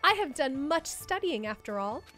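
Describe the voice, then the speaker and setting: A woman performs a young princess from a visual novel game in a high, animated voice. The delivery is formal and precise, full of earnest enthusiasm, with music underneath. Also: moderate pace. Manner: formal, precise, exclamatory speech. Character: polite foreign princess girl, earnest, enthusiastic about Japanese dramas. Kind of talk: dramatic read of visual novel dialogue.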